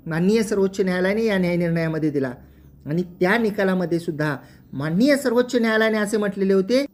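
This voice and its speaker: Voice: mid-range voice, darkish tone. A presenter explains legal matters in a formal, methodical way, restating points as they go.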